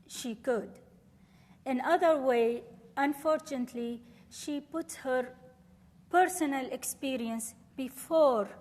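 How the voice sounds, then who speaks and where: A woman from Syria whose first language is Arabic, giving a measured, earnest university lecture and answering questions. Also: high voice, in a large hall. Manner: measured, earnest, formal phrasing. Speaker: visiting scholar and lecturer. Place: lecture room.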